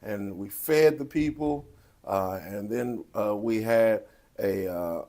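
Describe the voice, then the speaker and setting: A man with a fairly low voice speaks in an interview as a pastor and producer. His delivery is deliberate and halting, as he works through his thoughts. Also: warm tone. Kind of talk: post-show interview.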